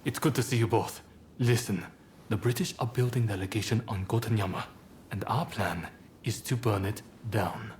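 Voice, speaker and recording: An actor playing a composed, persuasive rebel clan leader in a video game, speaking in a measured, polite, formal manner. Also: male voice, fairly low pitch, darkish tone, slight background noise, natural voice. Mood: earnest, persuasive. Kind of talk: video game cutscene acting.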